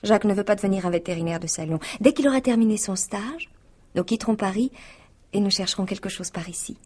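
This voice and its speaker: An actress playing a dreamy, affectionate nineteen-year-old niece in a French radio mystery, speaking with earnest, tender eagerness. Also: female voice, mid-range voice, fast, darkish tone, natural voice. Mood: pleading, earnest. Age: young adult.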